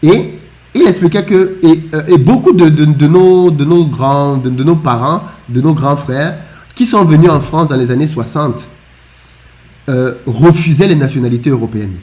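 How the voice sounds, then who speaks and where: Man from Africa, fairly high voice, lively talker who stammers through restarts. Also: moderate pace. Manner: animated, with frequent stammered restarts. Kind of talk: casual discussion.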